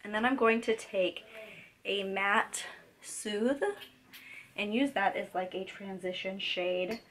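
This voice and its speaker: A young woman vlogger with a voice gone hoarse from a cold. She chats casually and rambles, filling her talk with "like" and "um" and restarting her sentences as she walks through a makeup look. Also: medium pitch, steady tone, slow pace, a bit bright, a little hiss or hum. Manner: chatty, rambling, many fillers and restarts. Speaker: beauty vlogger. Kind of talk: casual makeup tutorial chat. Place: home.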